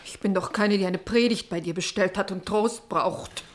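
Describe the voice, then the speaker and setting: A woman's voice: medium pitch, normal speed, close to an everyday voice. An actress playing an anxious, brittle pastor's wife and mother in a German radio play. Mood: wistful, determined.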